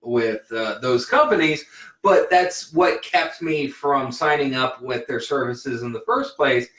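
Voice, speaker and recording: A man's voice: mid-range voice, unhurried, a bit bright. A man hosting an interview, a dentist chatting casually in a blunt, teasing way with frequent restarts. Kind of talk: casual livestream interview chat. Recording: roomy echo.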